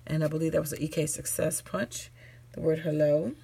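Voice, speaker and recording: Low gravelly woman talking through her craft projects late at night, tired, rambly, lots of ums, faint hiss behind. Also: little pitch movement, a little fast, dark tone. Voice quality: raspy. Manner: rambling, self-correcting, chatty with fillers. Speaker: crafting channel host. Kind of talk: casual show-and-tell crafting chat.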